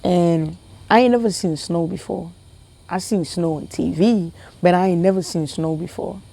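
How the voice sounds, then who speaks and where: A young woman from Ghana telling a funny personal story to her viewers in a casual, animated way, often repeating herself and laughing. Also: lowish voice, normal speed, creaky. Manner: animated, repetitive, laughing, talks to viewers as family. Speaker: vlogger.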